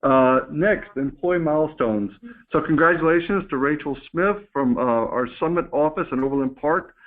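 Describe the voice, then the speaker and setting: A man's voice: fairly high pitch, normal speed. A man speaking as a company executive on a staff call, presenting informally and candidly while reading and answering questions. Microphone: conference call phone line. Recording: muffled narrow phone conference line.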